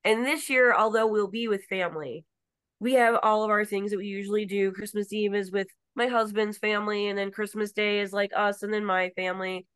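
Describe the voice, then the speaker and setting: A woman's voice: medium pitch, fairly even intonation, a little fast, fairly bright tone, smooth, no room sound. A woman guest on a podcast, telling a personal story in casual chat and often saying "like". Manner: storytelling, with frequent "like".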